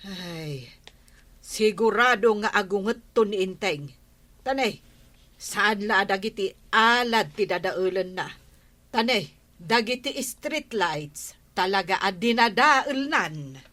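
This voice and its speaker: A stern village woman in a radio comedy. She has a middle-pitched, bright, forceful voice and a firm, admonishing manner. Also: animated, slow pace. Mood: worried. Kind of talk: comedic radio drama acting.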